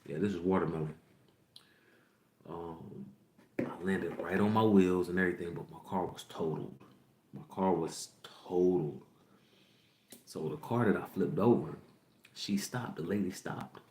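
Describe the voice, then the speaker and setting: A man's voice: low-pitched, normal speed, pauses often, darkish tone, echoey room, a little hiss or hum. A young man in his twenties hosting a podcast, telling a candid personal story to his viewers, rambling and repeating lines. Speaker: podcast host. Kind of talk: personal storytelling to a livestream audience.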